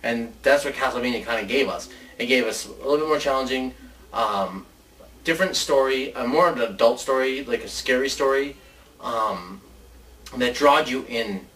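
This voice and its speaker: Mid-pitched man rambling casually, off-mic. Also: normal speed, fairly bright tone. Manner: informal, rambling. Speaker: co-host of a movie ideas show. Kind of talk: casual chat pitching ideas. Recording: distant mic with faint background noise.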